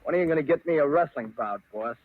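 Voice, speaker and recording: A man's voice: a little high, expressive, fast talker, dry recording. An actor playing a dim, eager ex-wrestler bodyguard in 1940s radio style, with slangy tough-guy talk. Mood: casual, grumbling. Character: dim-witted male ex-wrestler bodyguard thug, eager and obedient. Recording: tinny, noisy old radio broadcast.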